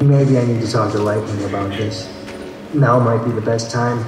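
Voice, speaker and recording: A man's voice: mid-range voice, fairly even intonation, normal speed, music in the background, natural voice. A man playing a timid, gloomy young dragon in a fan radio drama, earnest, polite and hesitant. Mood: downcast, reflective. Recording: echoey convention hall playback, from far off.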